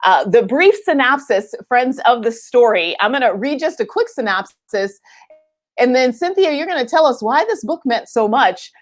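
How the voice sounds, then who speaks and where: Higher-pitched woman hosting a casual live chat. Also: animated, moderate pace. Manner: chatty, informal, addresses viewers directly. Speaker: host of a live online book chat.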